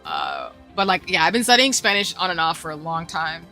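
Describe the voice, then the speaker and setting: Chatty young woman with a bright voice. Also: fairly low pitch, huge pitch swings, a little fast, faint music behind. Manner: rambling and chatty, many asides and fillers. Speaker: game streamer. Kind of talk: casual chat with stream viewers while gaming.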